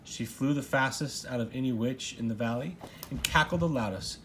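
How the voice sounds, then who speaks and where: A man's voice: medium pitch, normal speed, fairly bright tone, low-quality recording. A father reading a storybook aloud in narration, recorded at home. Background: household noise, young child interjecting.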